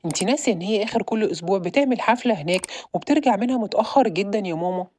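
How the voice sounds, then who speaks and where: A woman voicing a young boy who acts the protective big brother, talking fast and bossily. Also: medium pitch, lively intonation, darkish tone, lightly acted. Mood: cheeky. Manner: bossy, protective. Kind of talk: audio drama.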